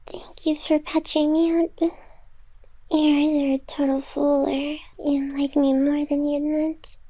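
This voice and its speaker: A very high, unhurried female AI acted by a woman speaks in intimate ASMR roleplay. She is teasing and guarded on the surface. Underneath she is tender and smitten, and her confessions come wrapped in denial. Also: little pitch movement. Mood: soft, confessing. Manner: tsundere, teasing, flustered denials over soft confessions.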